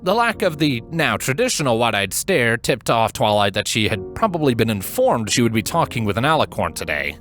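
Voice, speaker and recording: Low-pitched, animated man narrating a story. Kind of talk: scripted fan fiction narration. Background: background music.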